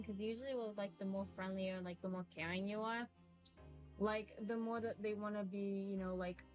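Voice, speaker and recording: A young woman giving casual, rambling live-stream commentary on a game, colloquial and blunt. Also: mid-range voice. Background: game music.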